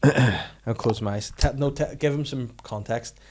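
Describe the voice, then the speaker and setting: A man's voice: medium pitch, a little slow, darkish tone. Crude, playful man in his late thirties. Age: 30s. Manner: playful, crude storytelling with put-on quotes. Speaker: podcast guest. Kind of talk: casual chat.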